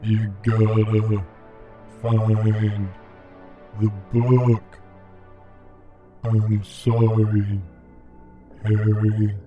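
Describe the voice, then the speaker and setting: A man playing a weary, pleading radio host turned half machine, speaking in a halting, desperate way in an audio drama. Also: lowish voice, level tone, phone-quality sound, soft background music. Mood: urgent.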